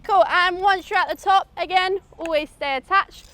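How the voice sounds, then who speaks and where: A woman's voice: extremely high pitch, normal speed, bright, forceful tone, slight background noise. A woman with a British accent giving an informal, instructive safety briefing to a group, often checking they follow. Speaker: outdoor adventure course safety instructor.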